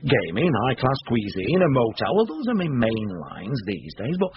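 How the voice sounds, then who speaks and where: A man's voice: mid-range voice, sing-song, normal speed, noisy background. An actor playing a boastful, slyly confiding self-made businessman with a self-important manner in a radio drama. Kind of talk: British radio drama acting. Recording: dull lo-fi radio recording.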